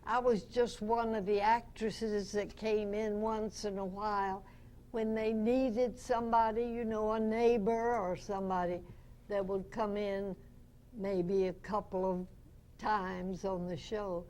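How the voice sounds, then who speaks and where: A woman's voice: mid-range voice, steady tone, unhurried, warm tone. A woman in her nineties, a veteran radio actress, reminiscing as a guest speaker in an anecdotal way. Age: 90s. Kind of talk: unscripted reminiscing to a club audience. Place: club meeting room, in front of an audience.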